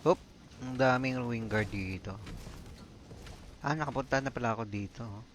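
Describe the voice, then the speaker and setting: A man's voice: mid-range voice, moderate pace, slight background noise. Casual Filipino man live-streaming a game. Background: faint game music.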